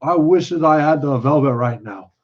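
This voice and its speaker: A man's voice: on the high side, normal speed, a little mellow, lo-fi sound, natural voice. Man voicing a big childlike simple rustic man. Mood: earnest, pleased. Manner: rustic ungrammatical speech, simple earnest wording.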